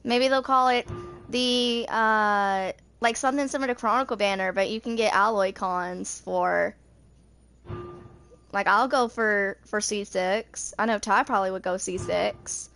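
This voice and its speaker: A woman's voice: mid-range voice, fairly even intonation, measured pace, vocal fry, fairly bright tone, faint music behind. A woman in her twenties chatting casually while gaming, with a rambling, informal way of talking. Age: 20s. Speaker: video game streamer.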